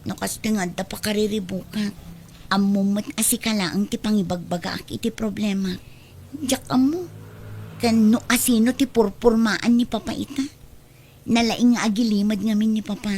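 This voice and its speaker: A woman's voice: medium pitch, fairly even intonation, moderate pace, darkish tone, a little hiss or hum, lightly acted. A woman acting a young adult daughter in a radio drama, anxious and nosy, with a questioning, pleading manner. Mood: worried, confiding. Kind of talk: amateur-style radio drama acting in Ilocano.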